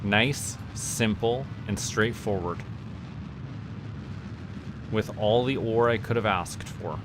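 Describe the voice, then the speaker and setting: A man's voice: on the low side, slow pace, background noise. A man giving casual livestream game commentary, thinking aloud as he goes. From Canada. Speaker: game streamer.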